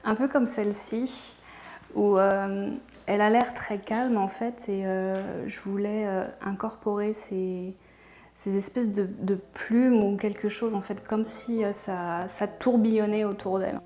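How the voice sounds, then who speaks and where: A woman with an accent from France speaks reflectively about herself in a documentary interview, often halting mid-phrase. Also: mid-range voice, fairly even intonation, dark tone. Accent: French from France. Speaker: painter, interviewee. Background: background music.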